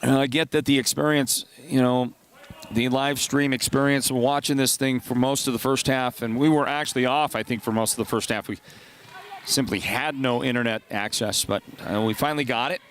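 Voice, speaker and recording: A congested man with a partly lost voice, calling high school basketball play-by-play and chatting between plays. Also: fairly even intonation, moderate pace, fairly bright tone, slight background noise. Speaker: play-by-play announcer.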